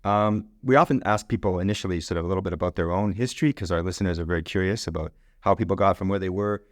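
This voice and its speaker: A man's voice: low-pitched, fast, no room sound, studio quality. A middle-aged man hosting a podcast, chatty and rambling, often restarting his sentences. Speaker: podcast host. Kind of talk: casual interview conversation.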